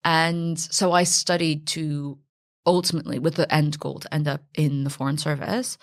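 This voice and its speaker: A woman from Silicon Valley, a fintech founder chatting as an interview guest, self-deprecating and quick to laugh. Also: sits low, fairly even intonation. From Silicon Valley, USA. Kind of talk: conversational interview.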